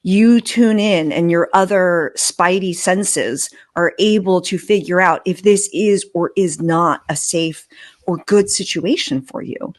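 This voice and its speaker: A woman's voice: on the low side, a little slow. A woman hosting a casual conversation, speaking emphatically and acting out other people's reactions. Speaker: interview host. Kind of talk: casual chat.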